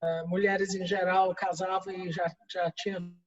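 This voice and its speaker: A woman's voice: fairly low pitch, little pitch movement, measured pace. A middle-aged woman from England with an English accent, speaking a second language in an interview and answering with many restarts and fillers. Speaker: guest executive. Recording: distant, roomy, dull sound.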